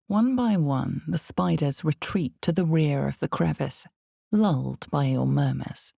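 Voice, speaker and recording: A woman voicing the omniscient narrator of a dark fantasy game, grave and ominous, with measured delivery and dramatic pauses. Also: no echo, studio quality, full character voice. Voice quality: creaky, low, mellow. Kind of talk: dramatic scripted second-person fantasy narration.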